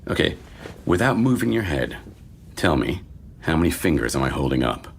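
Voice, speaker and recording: A man playing a sardonic doctor in his fifties, deadpan and wry, in a screenplay reading. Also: normal speed, full character voice. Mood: matter-of-fact. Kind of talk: screenplay table read. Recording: faint low rumbling noise.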